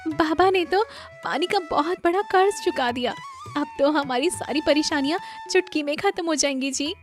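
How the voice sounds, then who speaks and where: A woman voicing an excitable, simple village wife in a cartoon story, wondering and expressive. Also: high-pitched, moderate pace, faint music behind, pitched above the performer's own voice, natural voice. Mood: overjoyed.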